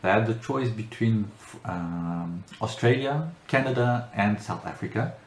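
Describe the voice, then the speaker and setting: A man's voice: fairly low pitch, measured pace. A middle-aged man from France answering interview questions, talking hesitantly with many fillers and restarts. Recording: roomy echo, faint background noise.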